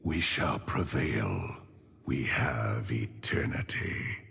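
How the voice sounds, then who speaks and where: Weary gravedigger necromancer, game champion: husky, solemn, swings from murmur to command, very unhurried. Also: male voice, lively intonation, a bit bright, full character voice. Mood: solemn, brooding. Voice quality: gravelly, husky, breathy low voice. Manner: slow, grave, brooding delivery. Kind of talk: video game champion voice lines. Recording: long cavernous reverb on the voice.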